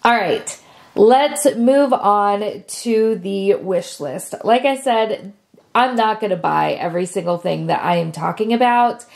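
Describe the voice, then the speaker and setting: A woman's voice: medium pitch, unhurried, creaky, a little mellow. A woman, sick and sniffly, chatting casually to camera as a beauty vlogger. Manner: chatty and friendly, with frequent fillers.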